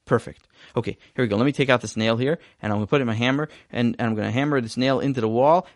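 Man playing a weary dad: calm, patient, sighs often, no room sound. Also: mid-range voice, racing, no echo, clean studio sound, close to an everyday voice. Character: patient, weary adult father.

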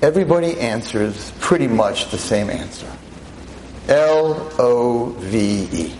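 A veteran teacher in his sixties lecturing an audience on a microphone, with a loud voice, emphatic and full of rhetorical questions. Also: medium pitch, animated, slow pace, a little hiss or hum, poor audio. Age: 60s. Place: talk in front of an audience. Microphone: handheld or stand mic.

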